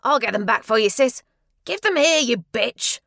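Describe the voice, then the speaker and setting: A hot-headed farm lad from a short story, acted out by a woman narrator. He is protective and loud, spitting insults in a raised pitch. The sound is dry and close. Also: lively intonation, normal speed, vocal fry, dry recording, exaggerated voice. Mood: furious. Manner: shouting, insulting. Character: angry farm lad, protective hot-tempered older brother.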